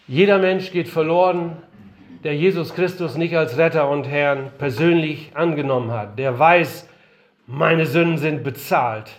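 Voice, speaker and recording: Older guy preaching in a conference room, voice a bit worn from feeling sick, fairly high pitch, keeps asking questions. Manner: earnest, emphatic, rhetorical questions. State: slightly unwell, voice strained. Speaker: missionary speaker. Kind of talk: lecture-style sermon.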